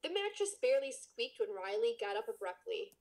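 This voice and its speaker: A woman's voice: on the high side, very animated, a little fast, darkish tone. A woman podcast co-host reading a book aloud and chatting casually, with playful, mock-dramatic asides.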